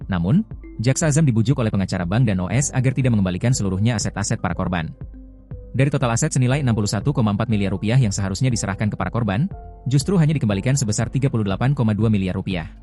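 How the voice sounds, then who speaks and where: A man's voice: fairly low pitch, racing, warm tone, soft background music. A man reading scripted news narration as a news narrator.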